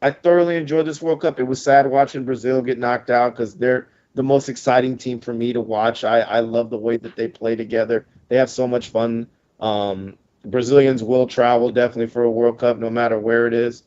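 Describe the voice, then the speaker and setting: A man's voice: mid-range voice, flat delivery, fairly quick, darkish tone. A man co-hosting a sports podcast, chatting casually and enthusiastically, repeating himself as he goes. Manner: enthusiastic, loose and repetitive. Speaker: podcast co-host. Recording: slightly dull lo-fi podcast audio.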